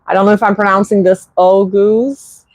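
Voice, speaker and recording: A woman's voice: mid-range voice, fairly even intonation, no echo. A woman from Quebec with a French accent, English her second language, hosting a casual livestream and chatting to viewers with frequent restarts. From Quebec, Canada. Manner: unhurried, restarts often, reads viewer comments. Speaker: bird-keeping channel host.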